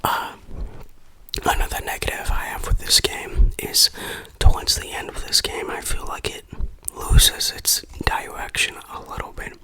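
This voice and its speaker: A person whispering an informal, opinionated ASMR game review in a breathy, unvoiced voice. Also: a little slow, pushed and bright, poor audio.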